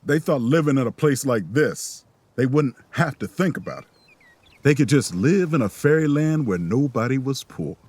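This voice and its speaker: A calm, folksy, reflective traveler in an eerie audio drama. His husky voice swings expressively as he measures out each observation and soothes his companions. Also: mid-range voice, normal speed. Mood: wistful, bitter. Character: calm, folksy, reflective man narrating an eerie road trip. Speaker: actor and narrating character in an audio drama. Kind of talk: audio drama acting with first-person narration.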